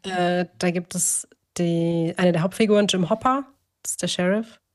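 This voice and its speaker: A woman's voice: fairly low pitch, steady tone, normal speed, a little mellow. A woman co-hosting a podcast in a casual chat, talkative and often correcting herself mid-sentence. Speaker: podcast co-host.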